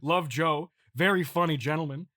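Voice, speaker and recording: Bright-voiced man as a pompous, rambling CEO. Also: a little high, no echo, clean studio sound. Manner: mock-pompous, halting and rambling with repeated phrases. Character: smug, petty billionaire CEO boasting to investors. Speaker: comedy podcast host. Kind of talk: improvised comedic monologue, mock-corporate address.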